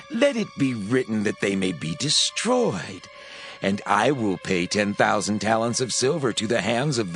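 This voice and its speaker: An actor playing a scheming royal official, speaking slowly and gravely in a dramatized scripture reading. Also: male voice, on the low side, a bit bright, heavily acted. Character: scheming royal official Haman, adult man.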